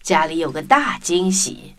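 Woman storyteller, lively swooping intonation, children's narration, music underneath. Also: lowish voice, unhurried. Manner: animated, addresses young listeners. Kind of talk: expressive scripted storytelling for children.

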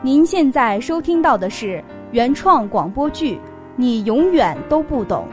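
A woman's voice: on the high side, sing-song, a little slow, soft background music. A woman announcing an audio drama in a scripted delivery.

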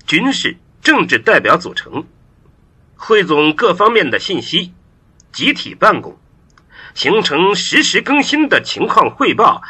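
A man's voice: a little high, sharp tone, breathy edge, heavily acted. A man playing a composed, authoritative statesman giving a formal, declamatory speech in an audio drama. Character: composed, authoritative male Chinese statesman urging caution.

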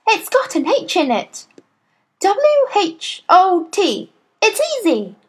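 A little schoolboy from a children's storybook, played by a woman, pitched very high. He is brave and innocent, with an eager, slightly nervous way of speaking at a slow pace. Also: huge pitch swings, full character voice.

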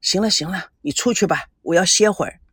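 A woman's voice: fairly low pitch, fairly quick, fairly bright tone, breathy edge, no echo, clean studio sound. A woman voicing a stern, caring elderly father in an audiobook, grumbling, sighing and admonishing. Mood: earnest admonishing.

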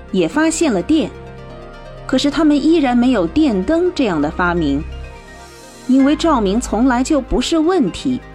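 Expressive female radio drama narrator. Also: medium pitch. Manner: storytelling, clearly phrased. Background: music.

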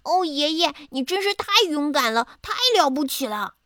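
A woman's voice: sits high, lively intonation, normal speed, a bit bright, dry recording, studio quality. A woman voicing an eager, admiring little young toad in a children's bedtime story. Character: small frightened young male toad, admiring.

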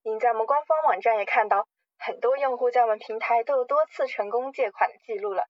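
Radio drama role: slick company manager with formal persuasive patter, played by a woman, higher pitch, muffled lo-fi sound. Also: fast, dry recording, lightly acted. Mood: persuasive, reassuring. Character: smooth-talking, scheming loan company general manager. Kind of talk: amateur radio drama acting.

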